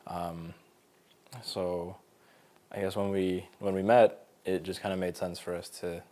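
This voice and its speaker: A man in his twenties from Colorado, talking thoughtfully in a casual interview, pausing with fillers as he finds his words. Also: sits low, level tone, warm tone. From Colorado, USA. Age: 20s. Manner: thoughtful, hesitant, many fillers. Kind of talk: casual interview, personal story.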